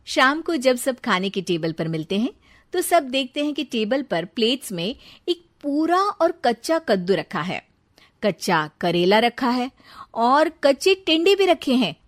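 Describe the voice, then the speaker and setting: Slightly high-voiced female storyteller. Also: sing-song, unhurried. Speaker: narrator. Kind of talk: scripted moral story narration.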